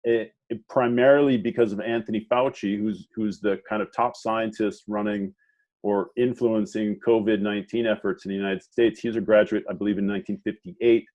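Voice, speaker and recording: A man with a lowish voice hosts an online conversation, feeling his way through each sentence with plenty of ums and restarts. His audio comes through dull and lo-fi, like a video call. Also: steady tone, a little mellow. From Washington, D.C. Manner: halting, many fillers and restarts. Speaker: moderator. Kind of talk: hesitant conversational introduction.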